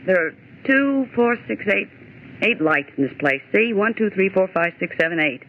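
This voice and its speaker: A woman's voice: mid-range voice, lively intonation, normal speed, close to an everyday voice. An actress playing a bossy, skeptical but rattled young woman office worker in 1940s radio horror style, scolding and theatrical. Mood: exasperated scolding. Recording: old radio recording, narrow tinny band, hiss.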